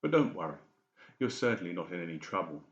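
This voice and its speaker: Guy doing a stern boss doctor in an audiobook, low voice, in charge, echoey room. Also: very animated, fast talker, lo-fi sound. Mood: conciliatory. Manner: stern, authoritative. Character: stern, commanding senior male doctor in charge.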